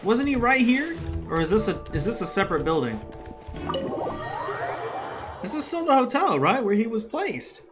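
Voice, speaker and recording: Very animated gamer over game music. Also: mid-range voice, normal speed, pauses often. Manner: slangy, swearing, animated. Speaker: gaming streamer playing and reacting. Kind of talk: casual gameplay commentary, reads dialogue aloud.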